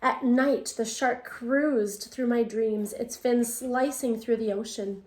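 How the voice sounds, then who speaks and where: Woman teacher, bilingual read-aloud for young kids, slightly high voice, echoey room. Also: fairly even intonation, darkish tone. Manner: reads in English then Spanish, pauses to ask children questions.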